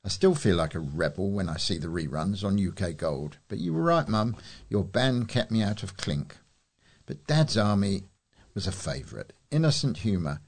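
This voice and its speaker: Casting: British man, very low pitch, volunteer reader of local newspaper letters and editorials. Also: sing-song, normal speed. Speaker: volunteer newsreader for a talking newspaper. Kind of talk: reading letters and editorials aloud.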